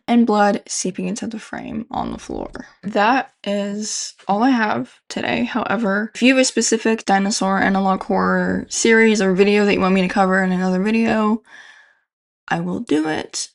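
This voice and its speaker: A woman's voice: a little high, gravelly. A young adult woman giving casual, informal, slangy video commentary as a host. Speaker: YouTube horror video host.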